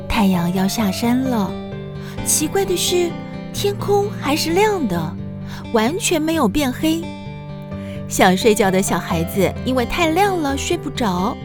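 A woman narrating a children's story in an expressive, scripted storyteller style. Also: a little high.

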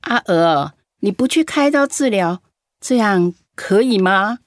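Worried older woman friend, played by an actress, mid-pitched and scolding, radio drama, dry with faint music. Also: lively intonation, a little slow, no echo, close to an everyday voice. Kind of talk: Mandarin radio drama acting.

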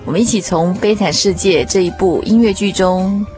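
A woman's voice: mid-range voice, normal speed, mellow, soft background music. A woman hosting a radio show in a conversational style. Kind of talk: conversational hosting of a music segment.

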